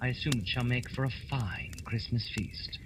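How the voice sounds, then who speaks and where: A man's voice: mid-range voice, normal speed, a bit bright, slight background noise, lightly acted. A man playing a self-assured, pompous Victorian detective with formal, articulate speech in a radio drama. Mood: confident.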